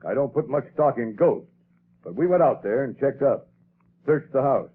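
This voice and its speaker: An actor playing an impatient police commissioner in a 1930s radio drama, brusque and exasperated, on a tinny old broadcast recording. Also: male voice, medium pitch, lively intonation, normal speed, slightly rough.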